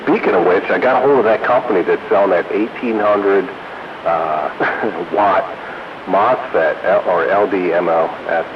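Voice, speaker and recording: A man's voice: deep voice, normal speed. A man chatting casually with fellow hobbyists over a CB radio. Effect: CB radio transmission. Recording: muffled CB radio with clear hiss.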